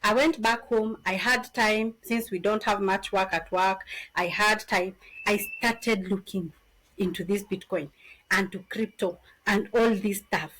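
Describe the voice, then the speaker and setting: A woman in her thirties from Kenya with a Kenyan accent, a podcast guest telling her story in an animated, casual way. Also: mid-range voice, measured pace, rough recording. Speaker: podcast guest, business founder.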